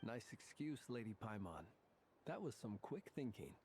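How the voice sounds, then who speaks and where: A man voicing a courtly, gallant gentleman in a video game, formal, measured and playfully courteous. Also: on the low side, big pitch swings, background noise.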